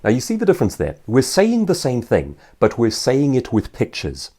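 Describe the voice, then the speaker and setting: Man, South African accent, mellow tone, very varied intonation, articulate explainer, instructional delivery to viewers. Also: medium pitch, wildly swooping pitch, normal speed. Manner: articulate, explanatory, poses rhetorical questions. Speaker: author and professional speaker answering viewer questions.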